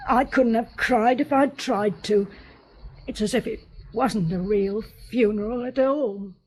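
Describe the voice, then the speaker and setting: A woman's voice: on the high side, measured pace, a little mellow, husky, rough recording. An actress playing a frank, plain-spoken young woman in a radio drama.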